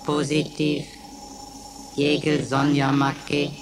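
A woman's voice: sits low, completely flat delivery, slow pace, noisy background. An actress playing an emotionless female computer in a radio play, announcing in clipped, formulaic phrases. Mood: flat, procedural. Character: emotionless female computer running roll calls and quizzes.

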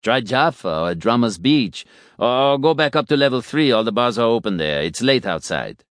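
A man's voice: medium pitch, sing-song, moderate pace, a bit bright, no room sound, studio quality, voice pushed up. A man voicing an awkward, mumbling uneasy man. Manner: mumbling, hesitant.

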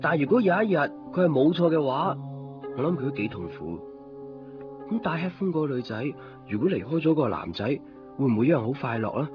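A man's voice: a little high, big pitch swings, a little fast. A man playing a reflective, lovelorn young man in a Cantonese radio drama, with a musing, conversational delivery. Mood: wistful, reflective. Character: reflective, lovelorn young man narrating his own story. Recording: dull lo-fi old radio recording. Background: music.

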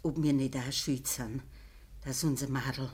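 An actress playing a gentle, ailing old farmer's wife in a radio play, speaking Bavarian dialect with a weak, resigned manner. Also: female voice, very deep voice, steady tone, breathy edge, exaggerated voice. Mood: sorrowful. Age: older. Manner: slow, plain rural dialect speech. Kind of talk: naturalistic radio drama acting.

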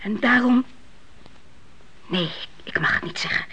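An older, devout widow and mother in a radio play. Her voice is husky and often drops to a hush. She speaks with solemn sorrow but stays stern and firm in what she believes. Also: a little high, unhurried, whispered, muffled recording, exaggerated voice. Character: grieving, devout old widowed mother, stern and unyielding in faith.

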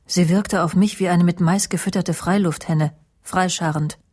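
Heisere, stolze, scharfzüngige Frau. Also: female voice, lowish voice, steady tone, normal speed, a little mellow, breathy edge. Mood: cool, disdainful. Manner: dry, sardonic, self-assured. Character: proud, sharp-tongued woman TV presenter narrating her story. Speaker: actress, lead and narrator in radio play. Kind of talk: German radio crime drama, intimate first-person narration and dialogue.

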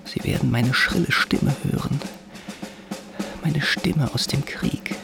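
An actor playing a timid, dreamy young soldier in a radio drama, musing inwardly in a breathy, sometimes near-whispered voice. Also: male voice, mid-range voice, slow pace, music in the background. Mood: inward, wry. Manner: introspective inner monologue.

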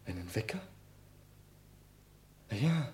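An actor playing a worn-down, defiant suspect in German radio crime drama, exasperated and protesting. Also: male voice, fairly low pitch, big pitch swings, takes it slowly, darkish tone. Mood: weary, exasperated.

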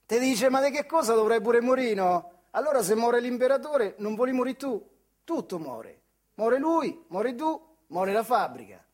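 An actor playing a bitter, weary retired factory worker in a theatrical monologue, with a central Italian accent from the Marche, swinging between muttering and outbursts. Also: male voice, very high voice, lively intonation, moderate pace. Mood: bitter, heated. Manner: colloquial, rhetorical questions, swings from muttering to outbursts. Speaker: stage actor. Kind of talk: theatrical monologue in dialect-tinged speech.

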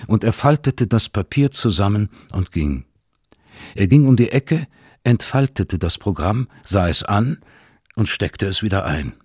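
A male narrator reads literary prose in a deep, husky voice. The sound is close and dry, as from a studio. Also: animated, unhurried, darkish tone, no echo. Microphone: close studio microphone.

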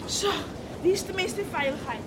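Very high-pitched, commanding young rescuer. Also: female voice, lively intonation, fairly quick. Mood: urgent, defiant. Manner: urgent, bossy. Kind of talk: radio drama acting. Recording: very noisy storm and clamour.

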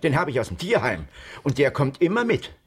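A man's voice: medium pitch, huge pitch swings, a little fast, slightly rough, exaggerated voice. An actor playing a shifty con man in his fifties in a radio crime comedy, protesting his innocence in a self-pitying whine. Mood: indignant, self-pitying. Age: 50s.

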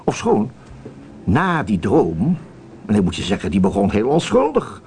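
An actor playing a flustered, excitable sheriff in a comedy radio play, stammering, rambling and repeating himself. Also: male voice, fairly low pitch, unhurried, slight background noise, heavily acted. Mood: excited, incredulous. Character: flustered, excitable American small-town sheriff, just woken.

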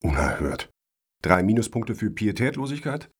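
A man plays a pompous juror in a satirical radio play. His voice is low and husky with animated swings, and he puts his questions bluntly and pointedly. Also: moderate pace. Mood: indignant, stern.

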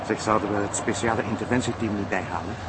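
A male actor as a loyal Flemish police sidekick with a fairly deep voice. He is calm, measured and polite, in a realistic TV crime drama, with noticeable noise in the background. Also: natural voice. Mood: worried. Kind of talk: naturalistic TV crime drama acting.

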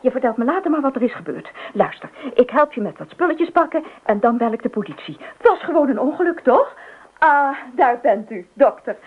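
A woman's voice: sits high, big pitch swings, fairly quick. An actress playing a concerned, practical woman neighbour in a radio play. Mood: worried, insistent. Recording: muffled phone-like old radio recording.

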